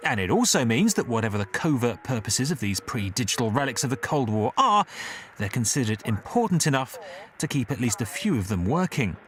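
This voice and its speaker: A man's voice: medium pitch, big pitch swings, fairly quick, fairly bright tone. A man reading scripted investigative narration as a documentary narrator.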